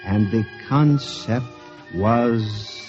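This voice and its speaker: An actor playing an anxious, obsessive office clerk, narrating in the dramatic, portentous style of 1950s radio drama. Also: male voice, lowish voice, drawn-out words, darkish tone. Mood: awed, ominous. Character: anxious, obsessive male office clerk confessing a machine conspiracy. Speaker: first-person narrator and lead actor. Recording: muffled vintage radio recording, music behind.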